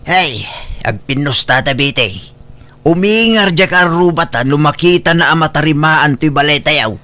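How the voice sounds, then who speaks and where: A man's voice: a little high, animated, normal speed, pushed and bright. A man playing a fretful, complaining husband with an Ilocano accent in a comedy radio drama. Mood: cautioning. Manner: complaining, questioning. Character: fretful husband worried about a troublesome neighbour.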